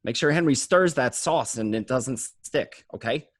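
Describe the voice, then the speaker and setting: A man's voice: mid-range voice, measured pace, dry recording, studio quality, lightly acted. A man acting as a stressed, bossy male criminal in an amateur script read, insistent and repeating himself. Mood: insistent, nagging. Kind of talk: amateur online table read of a film script.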